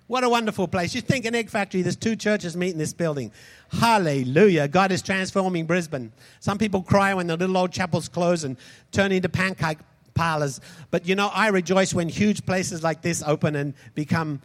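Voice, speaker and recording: A man preaching a sermon, impassioned, swinging between loud shouts and soft, quick asides. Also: sits high. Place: large hall converted into a church.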